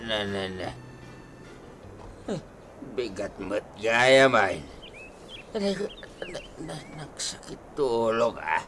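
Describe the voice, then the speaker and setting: A man's voice: fairly low pitch, expressive, slow pace, long pauses, a bit bright, slight background noise, heavily acted. A man playing a drunken, boastful but fond father in a radio comedy drama, slurring and repeating himself with a Filipino accent. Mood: groggy, hungover.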